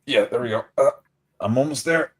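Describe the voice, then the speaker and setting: A man's voice: medium pitch, brisk, breathy edge. A man voicing his character in a tabletop roleplay, describing actions and groaning in pain. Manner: groaning, pained in-character talk. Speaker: player.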